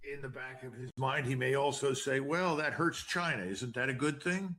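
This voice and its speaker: American male pundit, husky mid-range voice, conversational. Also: medium pitch, steady tone, moderate pace, fairly bright tone. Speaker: interview guest, political commentator. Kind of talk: conversational political commentary.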